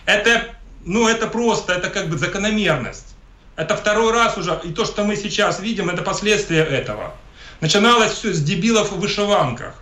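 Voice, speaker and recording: A man's voice: high-pitched, animated, fairly quick, pushed and bright. A man from Ukraine, an interview guest giving emphatic, polemical political commentary and often repeating himself. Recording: echoey room.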